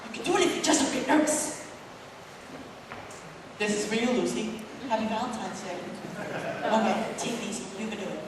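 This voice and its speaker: A woman's voice: mid-range voice, animated, fairly quick, pauses often, background noise, lightly acted. A woman playing a nervous, hapless young boy in a stage musical, singing and fretting aloud. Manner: nervous, self-pitying, rehearses his words aloud. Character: anxious, hapless young boy who is easily flustered. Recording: echoey hall, mic far from the stage. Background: loud musical accompaniment.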